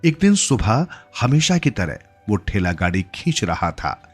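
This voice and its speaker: Low-pitched male storyteller over music. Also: big pitch swings, unhurried, breathy edge. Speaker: story narrator. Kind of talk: scripted literary story narration in Hindi.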